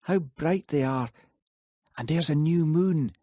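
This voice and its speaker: An eager young woman with a lifted, excitable voice, as a male audiobook reader puts her on. She speaks brightly and high above a low male register. The sound is close and dry. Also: takes it slowly, no echo. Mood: wistful. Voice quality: raised, excitable delivery. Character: high-pitched Highland woman, eager and indignant. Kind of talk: audiobook character voice.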